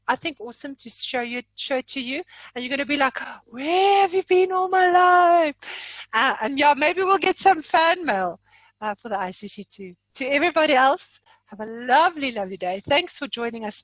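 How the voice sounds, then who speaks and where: A woman's voice: fairly high pitch, fairly bright tone, dry recording, rough recording. A woman hosting an informal online training session in a jokey, chatty, self-deprecating way. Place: remote online meeting from own room.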